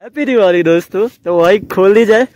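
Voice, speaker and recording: A young man talks eagerly to his viewers as he camps on an open mountain meadow. His voice sits high for a man, and he calls out to the people around him. It is picked up by an action camera out in the open. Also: a little fast. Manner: excited, chatty, calls out to viewers. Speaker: travel vlogger. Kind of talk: casual camping vlog. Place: outdoors on a mountain meadow by a campfire.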